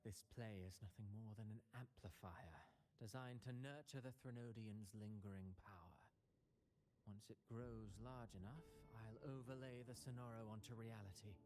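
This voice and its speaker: An actor playing a smug, scheming villain playwright in a video game, drawling theatrically and poetically as if savoring tragedy. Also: male voice, on the low side, moderate pace, noisy background, lightly acted. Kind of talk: scripted video game voice acting. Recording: music playing behind the voice.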